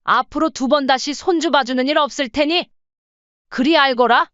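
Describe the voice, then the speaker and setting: A woman acts out an aggrieved elderly mother in an audio drama. Her voice is high and close and dry, and she scolds with bitter reproach. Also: moderate pace, fairly bright tone, no echo, highs cut off. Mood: hurt, indignant. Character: hurt, angry elderly Korean mother and mother-in-law. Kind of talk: audio story drama acting.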